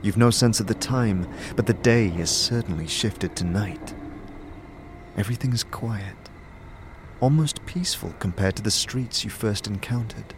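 A man giving dramatic scripted narration for a dark fantasy audio drama. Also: lowish voice, a little slow, background noise. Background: music.

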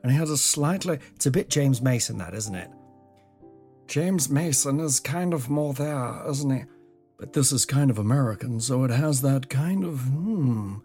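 A slightly croaky man hosts his own podcast, wandering from thought to thought in a chatty, self-mocking ramble with the odd swear word. He records at home in an old house on a mic with no pop shield, and faint music sits low in the background. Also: expressive, moderate pace, mellow. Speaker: podcast host. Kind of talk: rambling solo podcast monologue. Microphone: home podcast mic without a pop shield.

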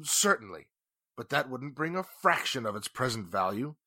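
A man playing a formal, indignant businessman and bitter ex-husband in 1940s radio drama style, with deliberate, emphatic phrasing. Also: mid-range voice, very animated, sharp tone, no echo, studio quality, heavily acted. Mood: indignant, accusing.

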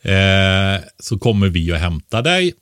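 A man's voice: low-pitched, very animated, fairly bright tone, no echo, studio quality. A man talking casually and giving explanatory advice as an expert podcast co-host, often tagging a question onto the end of his points.

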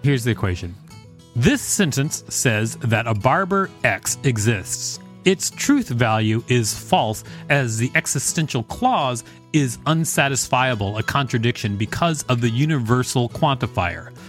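A man with a mid-pitched voice speaks as a podcast co-host. His delivery is conversational and wry, moving between read-aloud text and casual banter. Music plays behind him. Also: sing-song, measured pace. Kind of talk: reading an encyclopedia article aloud with casual banter.

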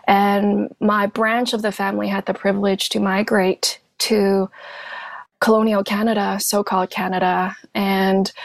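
A woman from Hong Kong whose first language is Cantonese, a therapist guest telling her story in a thoughtful, measured way. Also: medium pitch, creaky, no room sound. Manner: thoughtful, measured, speaks in lists. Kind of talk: reflective personal storytelling.

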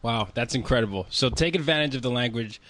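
A man's voice: medium pitch, fast, fairly bright tone, dry recording, poor audio. A man hosting a podcast interview, asking questions in an enthusiastic, encouraging way. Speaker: podcast host.

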